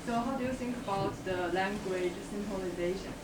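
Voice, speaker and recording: A woman's voice: mid-range voice, fairly quick. A woman in the audience asking a lecturer a question, hesitating and searching for words. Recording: distant voice in a noisy echoey hall.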